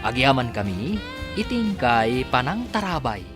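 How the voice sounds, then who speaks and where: A man announcing a radio drama serial and reading its credits in a drawn-out, dramatic delivery. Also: medium pitch, sing-song, music in the background.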